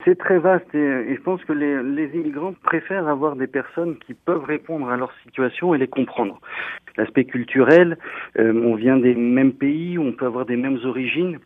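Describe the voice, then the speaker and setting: Male guest lawyer, earnest and halting, heard over a thin phone line. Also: medium pitch, no echo. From northern France. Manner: earnest, explanatory, with frequent hesitations. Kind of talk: radio interview answers. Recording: tinny phone line, dry.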